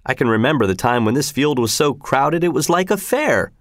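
A man's voice: medium pitch, lively intonation, brisk, dry recording, studio quality, lightly acted. A man voicing a grumbling, sarcastic soldier in a storybook, complaining emphatically and repeating himself in weary exasperation. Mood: exasperated complaining. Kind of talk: storybook character voice.

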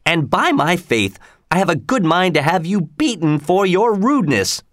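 A man reading a storybook, voicing a pompous, proud male sheriff in a raised, haughty pitch. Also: sing-song, a little slow, dry recording, clean studio sound. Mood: angry.

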